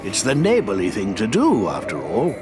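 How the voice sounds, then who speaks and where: Eccentric old game wizard: kindly, chatty, pitch swooping wildly, music underneath. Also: male voice, wildly swooping pitch, moderate pace. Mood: breezy, reassuring. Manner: whimsical, rambling, chatty. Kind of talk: video game cutscene acting.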